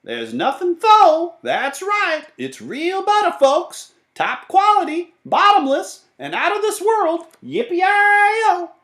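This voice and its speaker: A man voicing a small, exuberant would-be cowboy hawking popcorn in a shouted singsong for a storybook. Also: extremely high pitch, very animated, slow, few pauses, reverberant, pitched above the performer's own voice, heavily acted. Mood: exuberant, hollering.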